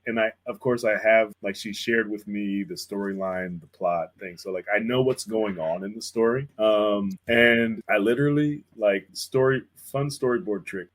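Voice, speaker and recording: A man in casual conversation as a guest artist, telling stories in slangy language and acting out bits of remembered conversations. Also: on the low side. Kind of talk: casual chat, storytelling about creative work.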